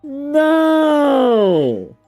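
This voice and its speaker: A performer voicing a menacing male dark lord with a raspy voice and archaic, commanding speech in a comic dramatic reading. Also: sits high, huge pitch swings, drawn-out words, loud music behind the voice, pitched above the performer's own voice, full character voice. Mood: raging.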